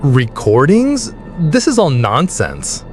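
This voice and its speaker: A man's voice: fairly high pitch, wildly swooping pitch, slow pace, full character voice. A man playing a dismissive, secretly sinister character in a radio horror drama. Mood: scornful. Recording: eerie music underneath.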